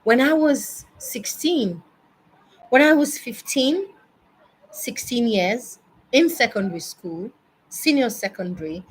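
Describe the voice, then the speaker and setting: A Nigerian-accented woman in her early forties giving a personal advice monologue, emphatic and deliberate, repeating words for stress. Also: medium pitch, slight background noise, rough recording. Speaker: speaker addressing parents.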